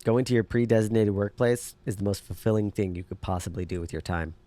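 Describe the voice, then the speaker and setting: A man's voice: lowish voice, quick pace, dark tone, lightly acted. A man playing a jaded, know-it-all underground hacker in an audio drama, dry and matter-of-fact as he explains. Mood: cynical, explaining.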